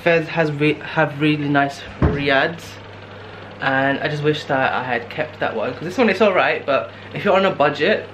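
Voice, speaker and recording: A man's voice: fairly high pitch, moderate pace. Tired young man rambling to camera. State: tired with sore throat. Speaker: travel vlogger. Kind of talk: casual solo travel vlog. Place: guesthouse room indoors, later noisy narrow street at night. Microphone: camera held at arm's length. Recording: handheld camera with clear background noise.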